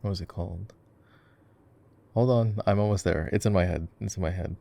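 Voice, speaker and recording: A man's voice: low voice, normal speed, creaky, dark tone. A man playing an easygoing, affectionate gamer boyfriend in a casual ASMR roleplay, rambling and musing aloud as he guides his partner through a game. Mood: musing, playful. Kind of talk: casual ASMR roleplay chat while gaming.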